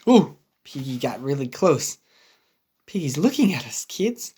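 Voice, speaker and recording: A man's voice: mid-range voice, big pitch swings, a little slow, warm tone, a little hiss or hum. A man reading to children as a teacher, making playful asides to the listeners and the characters. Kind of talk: animated children's read-aloud with asides.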